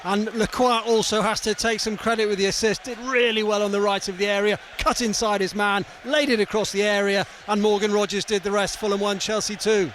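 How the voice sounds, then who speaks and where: A woman's voice: mid-range voice, steady tone, fairly quick, hardly any pauses. A woman reporting match action as a football commentator in short, clipped phrases. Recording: clear background noise and ambience.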